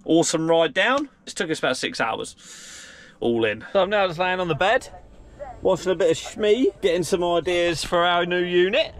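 Excitable trucker vlogging from his cab. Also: high voice. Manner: chatty, excitable, quick exclamations. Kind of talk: casual vlog commentary. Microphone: handheld action camera. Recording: engine and road noise behind.

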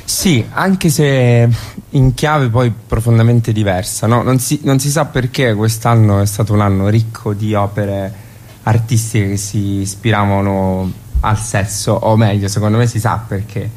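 A man with a fairly low voice explains his ideas in a radio interview. He is reflective and philosophical, and often closes a phrase with a questioning tag. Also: steady tone, unhurried, a little mellow, slight background noise. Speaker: playwright and actor, interview guest.

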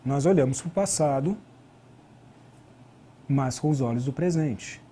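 A man's voice: medium pitch, measured pace, warm tone, slightly rough. A middle-aged Brazilian man giving an online academic lecture as a historian, explaining through rhetorical questions. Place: indoor studio at a desk.

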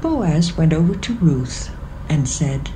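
A woman narrating scripture from a script in a measured storytelling manner. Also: low voice, big pitch swings, noisy background. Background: music.

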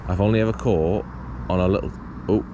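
A man's voice: low voice, steady tone, brisk, dull audio. A British man chatting casually and informally to viewers as he fishes, often musing aloud in questions. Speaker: angler presenting a fishing video. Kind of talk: casual chat to viewers while fishing. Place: outdoors beside a small pond. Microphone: body-worn action camera. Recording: clear outdoor ambient and traffic noise.

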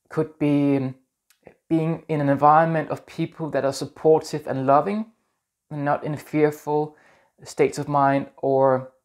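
A man in his thirties from Denmark with a Danish accent, talking earnestly to camera in a small room on a lapel mic, gentle and a little halting. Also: mid-range voice. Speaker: spiritual vlogger. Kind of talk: casual talk to camera.

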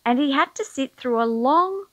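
A woman's voice: high voice, animated, moderate pace, fairly bright tone, no room sound. A woman reading a children's picture book aloud as storyteller, expressively, drawing out key words for emphasis.

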